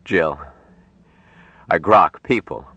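A man voicing an earnest, tender young man raised apart from humans, speaking slowly and formally in an audiobook story. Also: sits low, vocal fry, sharp tone, no room sound, lo-fi sound, full character voice. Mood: tender, amorous. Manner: slow, deliberate, formal phrasing.

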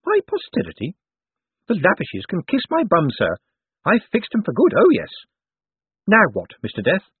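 A man's voice: high-pitched, huge pitch swings, moderate pace, long pauses, slightly rough, no echo, voice pushed up, exaggerated voice. A man voicing a feisty, cheeky old woman with a tart, defiant manner. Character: feisty, cheeky old lady banker, unashamed. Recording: muffled, band-limited phone-like audio.

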